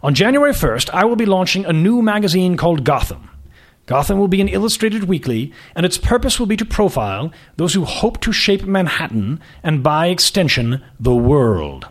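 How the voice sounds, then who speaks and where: A man's voice: high voice, very animated, normal speed, pitched above the performer's own voice, exaggerated voice. A man playing a haughty aristocratic editor. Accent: upper-class East Coast with British touch.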